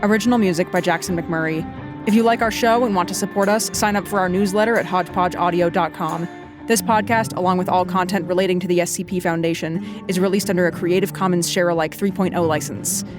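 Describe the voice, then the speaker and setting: A woman's voice: on the low side, fairly even intonation, fast. A woman reading scripted closing credits as an announcer. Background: guitar music.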